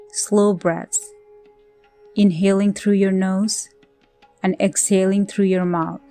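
Very slow-paced woman guiding meditation over music. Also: mid-range voice. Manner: gentle, soothing, measured delivery with pauses. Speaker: meditation guide. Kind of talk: scripted guided meditation.

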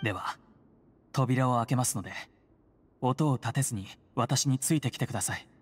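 An actor playing a polite, earnest prison guard who secretly helps heroes, speaking formally and solemnly in a game. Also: male voice, medium pitch, normal speed, breathy edge, close to an everyday voice. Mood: grave, urgent. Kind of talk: Japanese game voice acting. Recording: long reverberant hall.

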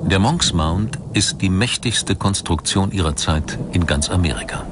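A man reading scripted documentary narration in a measured, articulate manner. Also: low voice, heavy background noise.

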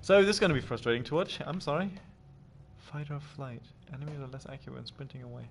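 A man's voice: mid-range voice, animated, fast talker, gravelly. A man chatting casually while playing a video game, flustered and self-deprecating, swearing now and then. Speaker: student radio host playing a video game. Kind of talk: casual gameplay chat. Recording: loud game noise and gunfire behind.

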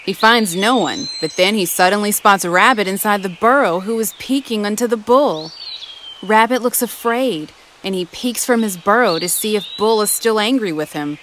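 A woman narrating an animated children's story in a clear, even storytelling manner. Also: medium pitch, moderate pace, a little hiss or hum. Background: music.

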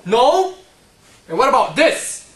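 A man's voice: very high-pitched, big pitch swings, slow, heavily acted. A young man playing a domineering, bullying farm animal rebel leader in an amateur skit, with emphatic, declamatory delivery. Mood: accusing, angry. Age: young adult. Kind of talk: amateur skit acting, oratory and shouted orders. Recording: echoey room, noisy camcorder sound.

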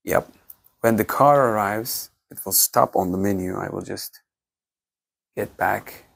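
Man, gravelly. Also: on the low side, no room sound. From Turkey. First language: Turkish. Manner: halting, many restarts and fillers. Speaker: tech reviewer. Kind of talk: off-the-cuff menu walkthrough.

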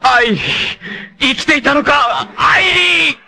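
An actor playing a fierce, proud martial artist in an anime, with an intense, theatrical delivery. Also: male voice, very high-pitched, vocal fry, heavily acted. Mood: anguished. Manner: intense, theatrical, from cool measured speech to anguished shouts. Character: fierce, proud martial artist hunting his sister's abductor. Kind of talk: dramatic anime acting.

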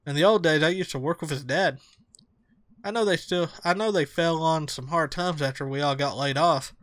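A man reading the lines of a gentle, corny middle-aged cat father in a video game. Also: fairly high pitch, moderate pace, dry recording, lightly acted. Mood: fatherly.

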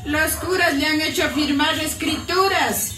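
High, fiery Andean activist woman. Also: unhurried, bright, forceful tone. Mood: earnest, urgent. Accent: Ecuadorian Andean. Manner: emphatic, accusatory. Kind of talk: radio drama acting. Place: radio drama played back at a live stage show. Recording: echoey playback in a hall from far off, faint music.

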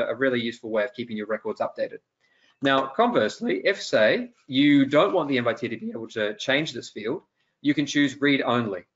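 A young man presents an instructional demo in an informal, explanatory style. His voice sits at a middle pitch, peppered with ums. The audio is dull and lo-fi, as on a video call. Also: a bit bright. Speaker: webinar presenter giving a software demonstration. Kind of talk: conversational instructional walkthrough. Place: indoor room, on a video call.

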